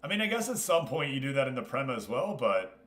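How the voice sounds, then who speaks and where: A man's voice: medium pitch, sing-song, fast, a bit bright. A man hosting a casual game livestream, talking informally and directly to his viewers. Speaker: streamer and host. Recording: roomy, echoing room sound.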